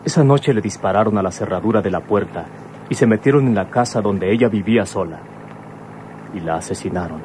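A man acting a courteous, serious son in a radio drama. His voice is low and measured in tone, with faint traffic-like noise behind it. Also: a little mellow, natural voice. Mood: explaining, defensive. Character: sober, courteous adult son of a police commissioner.

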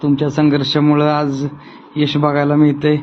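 Male caller, highish and fairly flat voice, probing and confrontational, dull phone audio. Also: moderate pace, slight background noise. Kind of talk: phone conversation. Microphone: phone call. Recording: muffled lo-fi recorded phone call.

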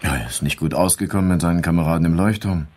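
An actor playing a calm, probing police inspector in a German crime radio play, measured and matter-of-fact. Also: male voice, deep voice. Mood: businesslike, probing. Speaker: actor in an audio drama.

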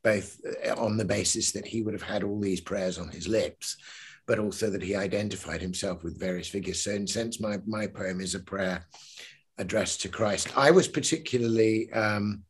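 An older poet with a husky, slightly breathy voice speaks over a video call from his living room. He talks earnestly with restarts and reads verse with rising emphasis. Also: lowish voice, moderate pace. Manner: earnest, with many restarts in talk and swelling emphasis when reading. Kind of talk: discussion and reading his poem aloud.

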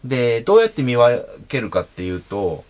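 A man in his thirties hosting his own channel, explaining sword types patiently to camera from a small room, with frequent fillers and pauses as he demonstrates. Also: mid-range voice, sing-song, a little mellow. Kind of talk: casual explanatory talk to camera. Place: small indoor room at home.

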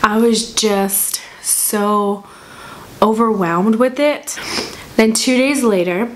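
Chatty young woman, hoarse from a cold. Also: mid-range voice, takes it slowly. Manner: chatty, rambling, frequent ums and restarts. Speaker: vlogger. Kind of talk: casual chat to camera. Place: small room at home, indoors. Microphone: camera in front at desk distance.

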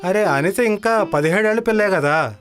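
Man acting as preachy village headman, highish voice. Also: animated, moderate pace, fairly bright tone, soft background music. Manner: advising, preachy. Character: opinionated male village headman, preachy and old-fashioned. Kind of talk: dramatized moral story voice acting.

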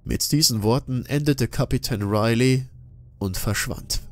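A man narrating a scripted horror audiobook in a solemn, measured, ominous manner. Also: mid-range voice, darkish tone, dry recording.

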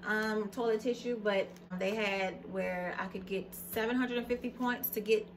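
There is clear background noise on this home recording, picked up by a camera mic in a plain room. An American woman with a medium-pitched voice talks in a casual, folksy, conversational way, like a vlogger presenting items to her viewers. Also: steady tone, moderate pace. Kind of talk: casual product haul chat to camera.

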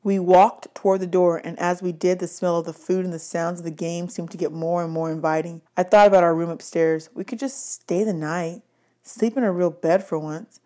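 A woman reading an audiobook aloud in an expressive storybook style. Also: on the low side, moderate pace. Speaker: audiobook reader.

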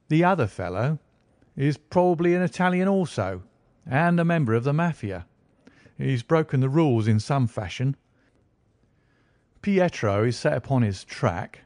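A man's voice: medium pitch, darkish tone, no echo, studio quality, voice pushed up. A man reading an audiobook, voicing an eager, self-satisfied male police inspector who lectures with confidence. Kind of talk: audiobook character voice.

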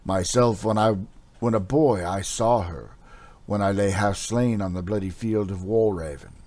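A man's voice: fairly low pitch, a little slow, a little mellow, lightly acted. A man voicing a wild-eyed older warrior telling a legend in a read-aloud fantasy story. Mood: fervent. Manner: fervent, wild.

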